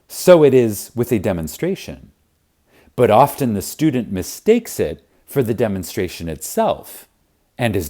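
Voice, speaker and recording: A man narrating a spiritual audiobook in a reverent, emphatic manner, with deliberate pauses. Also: lowish voice, warm tone. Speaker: audiobook reader. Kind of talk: scripted narration of a spiritual self-help audiobook.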